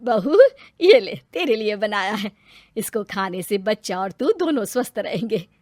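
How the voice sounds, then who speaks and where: A woman's voice: mid-range voice, animated, unhurried, a little mellow, no echo. A woman voicing an older, hardworking mother-in-law in a cartoon story, caring and coaxing in manner. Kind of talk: cartoon story character acting.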